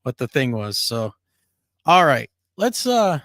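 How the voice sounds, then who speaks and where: A man's voice: mid-range voice, sing-song, slow, fairly bright tone, no echo, clean studio sound. A man co-hosting a casual live-streamed talk show, chatty and upbeat, his voice scratchy and worn. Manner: upbeat, chatty, laughs easily. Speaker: co-host of a paranormal talk show.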